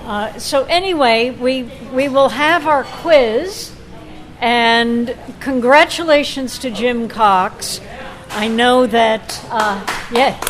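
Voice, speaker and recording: A woman chairing a club meeting with informal, unscripted remarks, often halting and restarting her sentences. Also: a little high, background noise.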